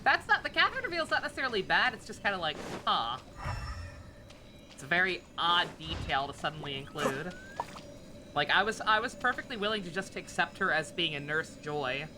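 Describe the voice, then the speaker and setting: A woman's voice: lowish voice, very animated, a little fast, noisy background. A woman game streamer giving casual, irreverent live commentary, rambling and swearing freely.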